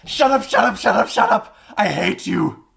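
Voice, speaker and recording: A man voicing a shifty, quick-tempered witness in a video game fan dub, stammering and defensive. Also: high voice, animated, creaky, a bit bright, full character voice. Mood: panicked rage. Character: shifty, jittery male witness, quick to anger. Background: game music.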